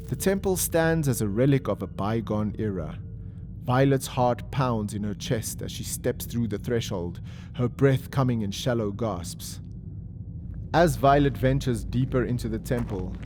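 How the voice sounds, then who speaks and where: A man reading dramatic scripted narration in a measured, solemn manner. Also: mid-range voice, background noise. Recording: loud music under voice. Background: loud dramatic music.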